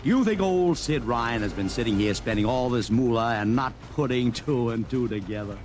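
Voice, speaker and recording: A man's voice: medium pitch, darkish tone, slight background noise, close to an everyday voice. An actor playing a brash, slangy publicity man in an old-time radio drama. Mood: sly, confiding. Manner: brisk, slangy, wheedling. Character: brash fast-talking male publicity man, slick and pushy. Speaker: publicity agent. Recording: old radio recording with music behind.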